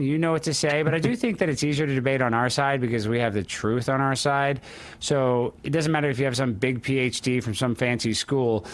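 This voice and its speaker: A man hosting a talk show with brash, joking, opinionated banter in a casual style. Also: mid-range voice.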